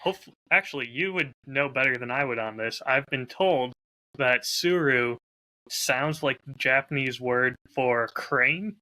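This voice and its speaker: A man's voice: mid-range voice, measured pace, pushed and bright, no echo, low-quality recording. A man talking casually as a host, showing off a bottle while recording on a close lav mic. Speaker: podcast host and whiskey audio drama creator.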